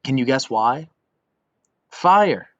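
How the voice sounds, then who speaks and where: A young man reading a children's story aloud in an expressive, scripted way. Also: medium pitch, takes it slowly, no echo. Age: young adult. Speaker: volunteer storybook reader. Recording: dull lo-fi recording.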